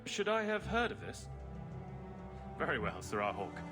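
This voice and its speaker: An actor playing a plain-spoken, put-upon city guardsman in a video game, grumbling and gruff in manner. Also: fairly high pitch, huge pitch swings, normal speed, music in the background. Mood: wary. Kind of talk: video game character acting.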